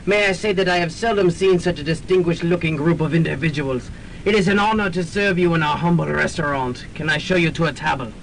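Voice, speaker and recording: A ceremonious French head waiter in a radio comedy. His accent is French and his voice somewhat high, pompous and flattering, on a faintly hissy recording. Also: fast, gravelly, poor audio. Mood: obsequious. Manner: florid, ceremonious. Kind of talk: student radio comedy drama acting.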